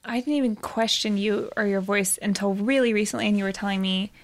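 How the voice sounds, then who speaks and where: A woman's voice: medium pitch, fairly even intonation, a little fast. A woman hosting a casual conversation, chatty and rambling, laughing often. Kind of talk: casual chat.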